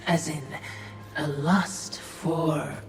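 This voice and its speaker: Echoey game sidekick: sly, insinuating female creature, lowish pitch, lively intonation, loud music behind. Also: drawn-out words. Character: Watcher, sly, needling female servant creature. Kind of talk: dramatic video game acting.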